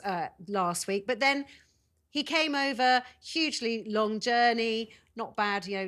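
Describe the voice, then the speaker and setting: A woman's voice: on the high side, fairly bright tone. A British woman presenting a live news interview, putting long, conversational questions to guests as she hosts.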